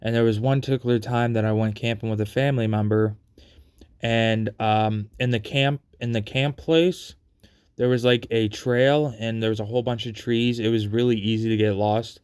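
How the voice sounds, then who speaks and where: A man casually telling personal stories in a rambling, repetitive and halting way. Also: medium pitch, a little mellow, smooth. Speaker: storyteller.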